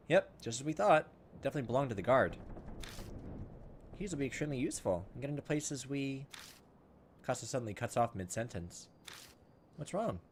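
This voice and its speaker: A man hosts a video game playthrough in a casual way, moving between reading on-screen story text and thinking aloud. His voice sits at a medium pitch, with some steady noise behind it. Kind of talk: gameplay commentary, reading visual novel dialogue aloud.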